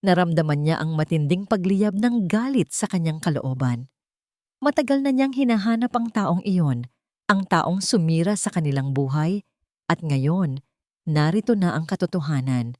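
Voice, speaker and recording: A woman's voice: lowish voice, animated, moderate pace, a little mellow, dry recording, clean studio sound. A woman narrating a scripted dramatic story as a storyteller.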